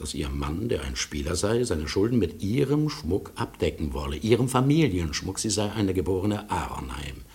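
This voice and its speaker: Deep-voiced, melancholy old lawyer. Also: male voice, a little mellow. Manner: deliberate, formal, pauses and drops to near whisper. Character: Herr Maso, older, ailing Berlin lawyer, melancholy storyteller. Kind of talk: German radio play acting, storytelling monologue.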